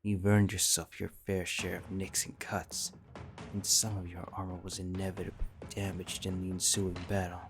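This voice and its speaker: Deep-voiced man narrating a fantasy story. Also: completely flat delivery, moderate pace. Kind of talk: scripted second-person fantasy story narration. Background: faint music.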